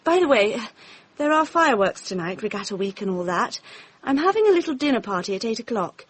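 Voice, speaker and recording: A woman's voice: on the high side, big pitch swings, brisk, husky, no echo. An actress playing a flighty, theatrical young society woman with an English accent in a radio drama. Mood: bright, sociable.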